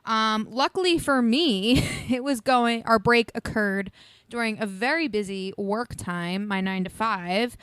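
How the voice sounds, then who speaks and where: A woman's voice: on the high side, unhurried, fairly bright tone, smooth, no room sound. Chatty woman in her early thirties. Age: 30s. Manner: rambling, chatty, laughs often. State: sniffly, congested. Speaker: podcast co-host. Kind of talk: casual chat.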